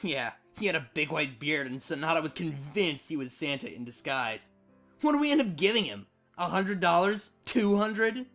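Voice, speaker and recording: A woman's voice: low voice, sing-song, moderate pace, fairly bright tone, soft background music, heavily acted. A woman voicing a surly, cynical siren in a storybook reading, grumbling in a dismissive, needling way. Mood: amused, incredulous. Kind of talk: storybook fan fiction reading.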